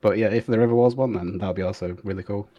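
A man talks casually as a guest on a podcast. His voice sits on the low side, and the sound is dry and close. He speaks in an easygoing, tentative way with small fillers. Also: steady tone, quick pace, no echo, highs cut off. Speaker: podcast guest, game company QA analyst. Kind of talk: casual chat.

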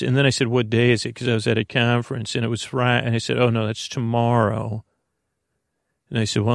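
American man, gravelly creaky voice, close dry sound, meandering bedtime monologue, halting sentences that trail off. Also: mid-range voice, no echo. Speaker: podcast host. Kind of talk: rambling bedtime monologue to help listeners sleep.

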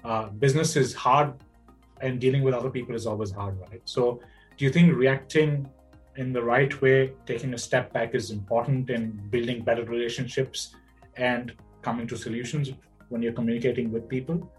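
A man's voice: mid-range voice, fairly even intonation, moderate pace, soft background music. A man hosting a podcast, asking long rambling questions and tagging them with "right?" and "you know". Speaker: podcast host. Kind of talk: casual interview conversation.

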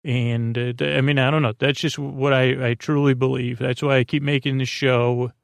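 Male bedtime-podcast host, creaky fry, dry close recording, meandering monologue full of halting repeats. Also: medium pitch, little pitch movement, dry recording.

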